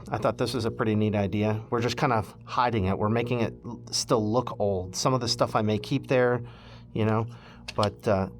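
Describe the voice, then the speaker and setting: A man casually walking through a DIY electronics project, thinking aloud in an offhand, loosely phrased way. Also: on the low side. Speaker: electronics hobbyist host.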